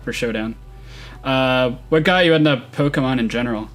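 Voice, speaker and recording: A young man hosting a casual online interview, easygoing and quick to agree with his guest. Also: mid-range voice, soft background music. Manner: easygoing, affirming. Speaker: host, interviewer.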